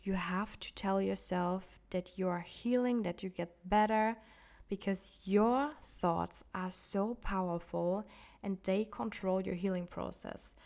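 A woman hosting a solo wellness podcast while sick with the flu, so her voice sounds off; she talks calmly and gently, with soft, nearly whispered moments. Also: mid-range voice, unhurried, vocal fry. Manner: calm, gently encouraging self-care advice. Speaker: podcast host.

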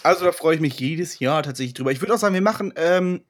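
A young man co-hosting a podcast, rambling excitedly and often laughing. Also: fairly high pitch, quick pace. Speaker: podcast co-host. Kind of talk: casual chat.

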